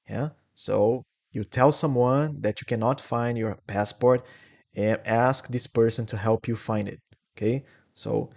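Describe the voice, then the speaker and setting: Brazilian-accented male English teacher, mid-pitched, patient and clear, repeats phrases for learners. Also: a little mellow. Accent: Brazilian Portuguese. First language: Portuguese. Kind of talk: English lesson.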